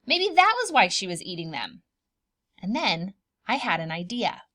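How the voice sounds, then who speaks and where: A woman reading a picture book aloud for preschoolers, expressive and dramatic, pausing to put questions to the children. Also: medium pitch. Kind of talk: animated children's storybook read-aloud with asides.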